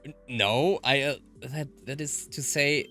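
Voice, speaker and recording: A man's voice: medium pitch, unhurried, pushed and bright, faint music behind. A man voicing an earnest, easily flustered young male protagonist of a visual novel.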